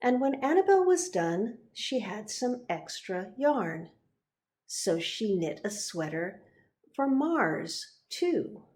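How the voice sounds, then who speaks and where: A woman narrating a children's picture book, expressive and deliberate, pausing for emphasis. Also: mid-range voice. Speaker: reverend reading a picture book.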